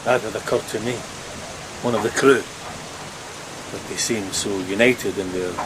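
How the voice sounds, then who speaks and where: Man playing a low-voiced, earnest ship's mate. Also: lightly acted. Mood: deferential. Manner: measured, explanatory, deferential. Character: educated, respectful, earnest male ship's first mate. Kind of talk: gothic horror audio drama acting. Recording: loud steady rain noise. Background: rain.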